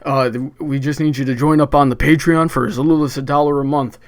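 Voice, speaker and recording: A man's voice: medium pitch, fairly even intonation, quick pace, no room sound, studio quality. A man hosting a live debate stream in a chatty, upbeat way, with frequent filler restarts. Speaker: debate livestream host. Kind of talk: casual hosting chat.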